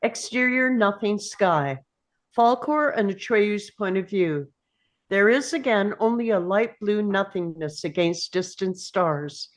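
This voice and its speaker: A woman's voice: medium pitch, unhurried. A woman reading stage directions as scripted narration at a table read.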